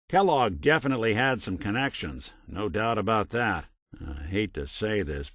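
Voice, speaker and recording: A world-weary old android private eye from a video game. The low voice moves in lively swings of pitch, wry but kindly, with 1940s noir patter. The recording is close and dry. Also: male voice, dry recording. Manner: wry, dry, old-fashioned gumshoe slang. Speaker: detective.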